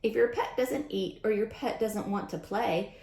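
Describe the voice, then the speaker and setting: A woman reading a picture book aloud to young children in an expressive way, adding chatty asides directly to her listeners. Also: medium pitch, moderate pace, echoey room.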